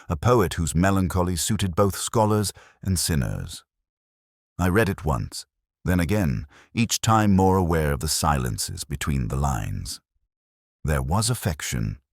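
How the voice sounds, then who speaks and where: A man narrating a literary detective story in the first person, with a measured, reflective delivery of scripted prose. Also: low-pitched, dry recording, studio quality.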